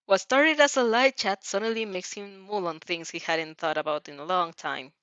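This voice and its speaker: A woman reading a story aloud as narrator, dramatic and a little halting, stumbling over some words. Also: mid-range voice, fairly bright tone, no room sound, dull audio. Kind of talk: expressive fan fiction read-aloud.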